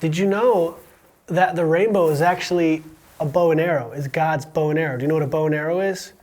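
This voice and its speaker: A man's voice: fairly high pitch, steady tone, fairly quick, darkish tone, slight background noise. Man, American accent, podcast host, quizzes a student, encouraging, jokey. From Romania. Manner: encouraging, asks quiz questions, jokey. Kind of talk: casual interview with a student.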